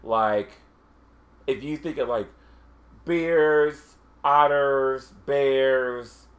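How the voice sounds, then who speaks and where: A man's voice: medium pitch, drawn-out words. Hesitant man in casual chat. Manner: hesitant, lots of fillers and restarts.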